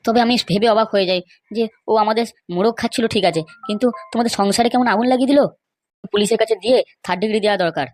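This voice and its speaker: A woman's voice: fairly high pitch, racing, darkish tone, soft background music, close to an everyday voice. A woman playing an aggrieved, scheming male villager in a comedy cartoon, complaining in an emphatic, gossipy way. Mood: indignant. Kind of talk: amateur comedy cartoon dialogue.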